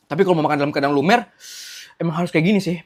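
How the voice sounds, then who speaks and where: A woman's voice: low voice, rapid-fire. A woman with an Indonesian accent chatting in casual slang as a food vlogger eating on a mukbang.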